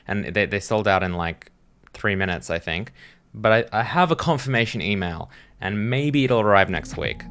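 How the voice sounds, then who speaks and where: A man's voice: fairly low pitch, fairly bright tone, clear, resonant tone. A man giving casual, unscripted reaction commentary as a host, hesitant and rambling as he thinks aloud. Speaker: host reacting to game trailers as a game developer.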